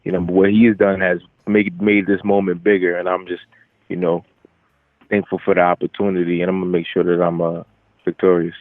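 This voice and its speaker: A young man, a boxer, giving casual, halting interview answers with repeated phrase starts over a telephone. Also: sits low, level tone, no echo. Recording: narrow, tinny phone line.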